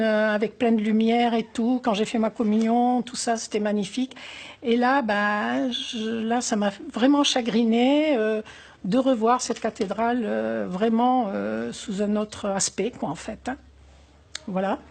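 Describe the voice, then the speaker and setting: An older woman from Algeria, recounting memories in an interview, pausing often with fillers and speaking in a reflective, wistful way. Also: on the high side, darkish tone. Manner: hesitant, many pauses and fillers. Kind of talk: oral history interview.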